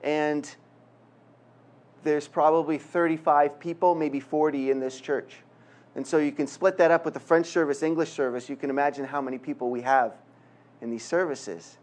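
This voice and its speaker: A man's voice: on the high side, fairly even intonation, normal speed, a little mellow. A middle-aged man from Quebec with a Canadian accent, preaching a conversational sermon with self-deprecating humor. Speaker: guest preacher. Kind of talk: sermon with jokes and prayer.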